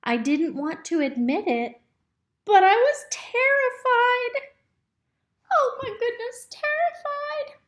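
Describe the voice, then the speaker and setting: A woman reading a picture book aloud to young children in an expressive, instructive way, pausing to ask them simple questions. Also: very high voice. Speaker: storybook reader. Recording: roomy, echoey indoor recording.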